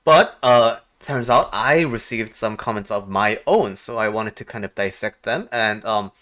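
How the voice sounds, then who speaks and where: A man from Korea talking casually and reflectively to viewers as a life coach, halting often and posing rhetorical questions. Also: fairly low pitch, expressive, fairly bright tone. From South Korea. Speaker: life coach and video host.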